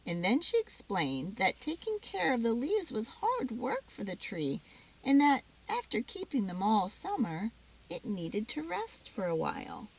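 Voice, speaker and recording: A woman giving an expressive, dramatic read-aloud of a children's story. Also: a little high, a little slow, gravelly, breathy edge, poor audio.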